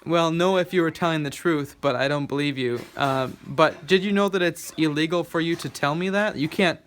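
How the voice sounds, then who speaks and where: A man's voice: fairly high pitch, normal speed, fairly bright tone. A man from the United States talking casually on a call in a hesitant, polite, questioning way. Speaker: call recipient recording a scam call. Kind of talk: casual phone conversation. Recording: faint noise with clicks.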